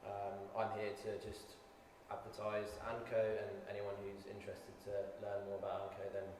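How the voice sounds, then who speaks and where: A man pitching his company's service to a group, using many "um" fillers. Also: normal speed, a crowd in the background. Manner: flat, sales-pitch delivery with "um" fillers. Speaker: company representative. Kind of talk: casual pitch. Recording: echoey hall from far off.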